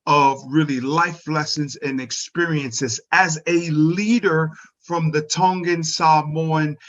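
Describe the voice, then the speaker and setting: A man with a slightly high voice hosts an interview show. His delivery is effusive and gracious, with hesitant pauses. The sound is a bit dull and lo-fi. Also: a bit bright. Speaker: show host. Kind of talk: radio show introduction and interview. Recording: dull lo-fi audio.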